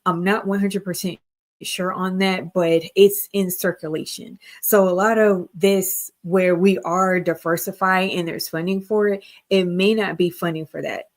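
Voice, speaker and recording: A woman's voice: lowish voice, fairly even intonation, measured pace. A woman co-hosting a casual live-stream discussion, explaining earnestly and checking her notes as she goes. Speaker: co-host.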